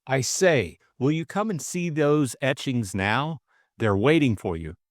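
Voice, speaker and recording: A man voicing a brisk, conspiratorial young gentleman in an audiobook drama, with a hurried, casual manner. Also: mid-range voice, animated, dry recording, clean studio sound, pitched above the performer's own voice, lightly acted. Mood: hurried, secretive.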